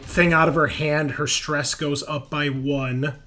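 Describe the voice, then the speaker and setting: A man's voice: on the high side, flat delivery, unhurried, sharp tone, faint music behind. A man running a tabletop roleplaying game as game master, talking casually through rules and turns.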